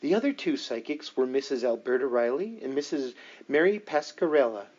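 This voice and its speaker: A man voicing a composed, earnest woman psychic investigator in an audiobook, measured, matter-of-fact and assured. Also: medium pitch, lively intonation, a little mellow, lo-fi sound, voice pushed down, natural voice. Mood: composed, explanatory. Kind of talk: audiobook dialogue reading.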